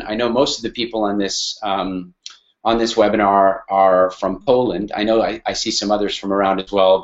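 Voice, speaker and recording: A man's voice: fairly low pitch, dull audio. A middle-aged Canadian man speaking casually on a webinar, hesitant, with many fillers and restarts. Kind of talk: casual spoken talk on a webinar.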